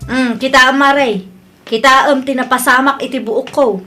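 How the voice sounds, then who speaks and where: Actress as upset ilocano lady customer, high voice, whiny complaining and insistent, radio drama style. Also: steady tone, measured pace, loud music behind the voice. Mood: indignant. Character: upset, complaining woman customer with ruined hair. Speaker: drama voice actor. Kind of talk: Ilocano audio drama acting.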